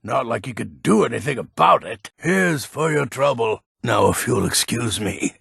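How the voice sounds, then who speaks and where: An actor playing a grumpy, crafty old merchant in a fantasy video game, gravelly and husky, with a grumbling, theatrical delivery. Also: male voice, medium pitch, animated, normal speed, fairly bright tone, no room sound, studio quality, heavily acted. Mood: curt, grumpy. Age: older.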